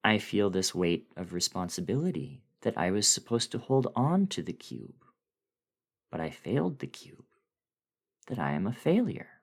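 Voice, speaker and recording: A man giving a dramatic reading of a horror story in a deliberate, brooding way with dramatic swells. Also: fairly low pitch. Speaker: dramatic reader.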